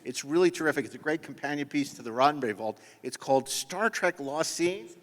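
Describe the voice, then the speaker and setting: A man's voice: fairly high pitch, expressive, a little fast. A man moderating a panel live on stage, conversational and rambling with joking asides. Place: convention stage before an audience. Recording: echoey hall, stage sound system.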